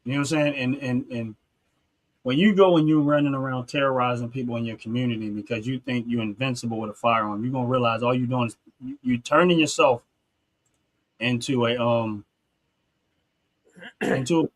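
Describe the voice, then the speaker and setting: A man hosts a casual talk show at an everyday middle pitch. He speaks earnestly, often restarting his sentences and dropping in street phrasing like "real talk.". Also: brisk, low-quality recording. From North Philadelphia, USA. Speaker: podcast host.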